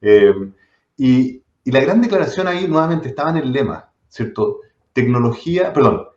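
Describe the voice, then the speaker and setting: A middle-aged man with a Chilean accent, whose first language was not Spanish, speaking as a guest in a live-stream conversation and often restarting his sentences. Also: mid-range voice. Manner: reflective, many restarts. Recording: dull roomy echo.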